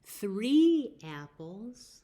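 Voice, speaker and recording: A woman with an American accent hosting a children's story time, speaking deliberately as if to young listeners. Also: medium pitch, very animated, mellow. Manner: slow, deliberate phrasing with questions to young listeners. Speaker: library story time host.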